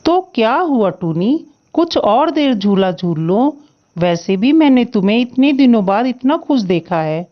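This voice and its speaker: Casting: woman as caring, stubborn male crow husband, cartoon, fairly high voice, slightly dull recording. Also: sing-song, measured pace. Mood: fond. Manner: earnest, protective, insistent. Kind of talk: Hindi moral-story cartoon acting.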